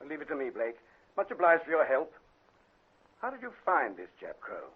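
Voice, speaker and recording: An actor playing a brisk, bluff chief inspector in a British radio drama, speaking bluntly. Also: male voice, fairly high pitch, wildly swooping pitch, husky, narrow, tinny audio, exaggerated voice. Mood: brisk, confident. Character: brisk, gruff male police chief inspector. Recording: band-limited vintage radio recording.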